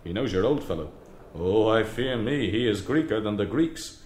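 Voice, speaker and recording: A man's voice: fairly low pitch, lively intonation, moderate pace, darkish tone, roomy sound, a little hiss or hum, close to an everyday voice. An actor playing a boisterous, mocking young Irishman in a literary audio drama, exclaiming and declaiming theatrically with an Irish accent. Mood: excited mockery.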